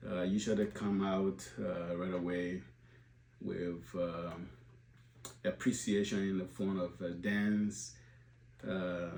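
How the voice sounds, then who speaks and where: A deep-voiced man in his forties speaks slowly and haltingly to the supporters of his organization, full of filler sounds and restarts. The recording is roomy, with a faint hum behind him. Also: warm tone. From Liberia. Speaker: organization leader addressing supporters. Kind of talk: unscripted video address.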